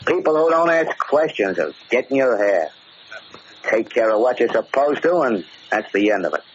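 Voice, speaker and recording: An actor playing a cocky, tough-talking gangster in a slangy 1940s radio drama style. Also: male voice, medium pitch, very animated, moderate pace, close to an everyday voice. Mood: cocky, easygoing. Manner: slangy, brash. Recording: muffled, hissy 1940s radio recording.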